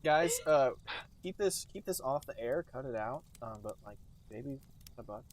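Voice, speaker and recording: Male co-host, casual comic commentary, mid-pitched, very varied intonation. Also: expressive, normal speed. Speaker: podcast co-host.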